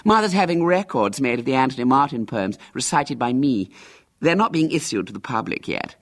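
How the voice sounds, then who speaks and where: Male reader playing a smug, boastful child celebrity; haughty, lively delivery. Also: mid-range voice, fairly quick, pitched above the performer's own voice. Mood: imperious. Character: conceited famous young boy, pompous and self-important.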